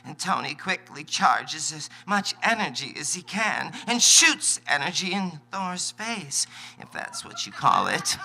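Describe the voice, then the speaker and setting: A man voicing a campy, saucy, excitable cartoon narrator reading action in a comic live radio play. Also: high voice, heavily acted. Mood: excited. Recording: live convention stage.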